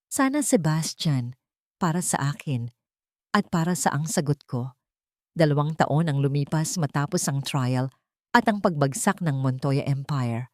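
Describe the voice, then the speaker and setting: A woman's voice: low voice, a little mellow, dry recording, studio quality. A woman with a Filipino accent narrating a scripted first-person audio drama.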